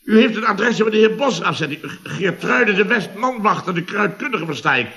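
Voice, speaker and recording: Blustery pompous dutch boss from netherlands, old radio comedy, bright pushy voice, always aggrieved. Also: male voice, high-pitched, expressive, normal speed, gravelly, exaggerated voice. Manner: pompous, complaining, exclamatory. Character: blustering, self-important male boss of a building firm, aggrieved. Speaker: actor in a radio comedy serial. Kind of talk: 1970s radio comedy acting.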